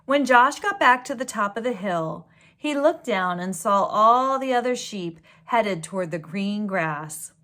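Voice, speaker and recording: A woman reading a children's storybook aloud like a teacher, with expressive delivery and gentle asides. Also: mid-range voice. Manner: slow, deliberate, expressive storytelling.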